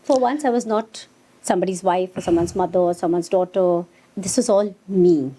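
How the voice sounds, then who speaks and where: A woman's voice: fairly low pitch, normal speed, warm tone, husky, rough recording. A woman in her fifties chatting casually as an interview guest, telling her own story with wry, jokey touches. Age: 50s. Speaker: interview guest, comedian and ventriloquist.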